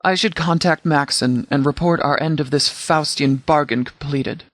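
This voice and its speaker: A man playing a stern, formal sheriff in a video game, giving orders in a measured, authoritative way. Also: fairly high pitch, a bit bright, no room sound, close to an everyday voice. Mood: serious, measured. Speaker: sheriff and commander. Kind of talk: scripted game dialogue, mission briefing.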